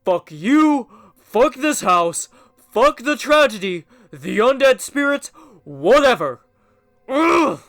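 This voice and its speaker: A man's voice: very high-pitched, sing-song, slow, a bit bright, no room sound, exaggerated voice. An actor playing an angry, exasperated young man with a sardonic, foul-mouthed manner in an audio horror drama. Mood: angry, fed up.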